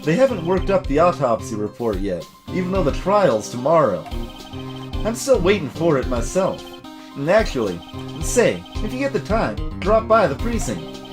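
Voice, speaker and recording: Game detective, put on by a man: friendly bumbler, blunt, folksy, very animated delivery. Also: on the high side, moderate pace, music in the background. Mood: apologetic, helpful. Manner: blunt, folksy, ends remarks with "pal". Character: bumbling, eager, friendly male police detective.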